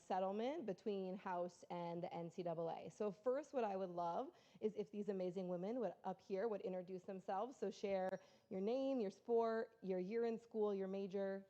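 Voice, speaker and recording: A woman's voice: medium pitch, warm tone, muffled recording. A woman who researches college sport, moderating a conference panel in a friendly, organized way with frequent ums. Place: conference room at a university.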